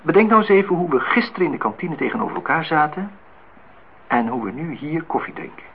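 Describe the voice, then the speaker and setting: A man's voice: on the high side, expressive, fast, creaky, breathy edge, full character voice. An actor playing a courting bachelor department head in his thirties in a radio play, formal, teasing and a little hesitant, in intimate dialogue. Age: 30s. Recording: tinny, noisy old radio play recording.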